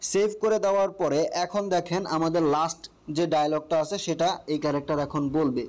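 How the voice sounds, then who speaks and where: Casting: Bangladeshi male tutorial host, slightly high voice, casual and explanatory, guiding step by step and checking in often. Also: steady tone, a little fast, darkish tone.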